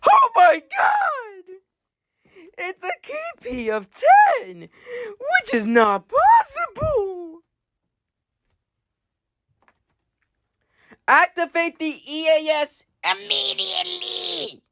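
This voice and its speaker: A woman playing an excitable space weather watcher in an amateur disaster drama, melodramatic and given to exclaiming. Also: extremely high pitch, slow pace, gravelly, exaggerated voice. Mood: panicked. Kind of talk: amateur disaster scenario acting.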